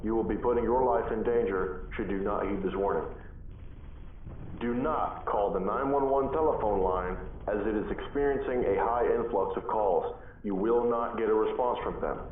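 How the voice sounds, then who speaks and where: A distant, echoey broadcast with faint noise carries a stern emergency announcer acted by a man. He delivers scripted public warnings in a formal, measured way, repeating instructions gravely. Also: on the low side, natural voice. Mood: grave, instructive. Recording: muffled, echoey, distant, lightly noisy broadcast.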